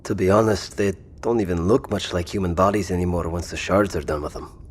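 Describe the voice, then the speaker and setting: A man's voice: deep voice, fairly even intonation, brisk, slightly rough. A man playing a plainspoken, wary apocalypse survivor in an audio drama, with a blunt, dry delivery. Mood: grim, dry.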